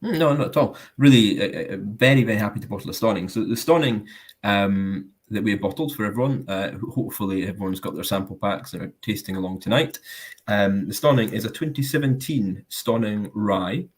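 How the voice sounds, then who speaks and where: Deep voiced guy hosting a whisky tasting, lots of ums, chatty and self-deprecating. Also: brisk. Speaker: host of a live whisky tasting. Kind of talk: casual hosting chat.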